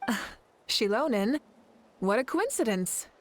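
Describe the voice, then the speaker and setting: An actress playing a composed, purposeful young woman leader in an anime game, speaking in a measured, articulate way. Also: fairly high pitch, big pitch swings. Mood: composed surprise. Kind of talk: anime video game dialogue.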